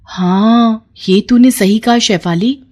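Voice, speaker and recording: A woman voicing a gentle, worried young eldest sister in a storybook tale, earnest and plaintive. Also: fairly high pitch, slow, voice pushed up.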